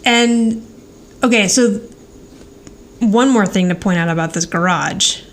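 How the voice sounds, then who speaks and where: A woman's voice: mid-range voice, measured pace, a little hiss or hum. A woman co-hosting a podcast, chatting casually as she recaps a television show. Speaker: podcast co-host. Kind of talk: casual TV rewatch chat.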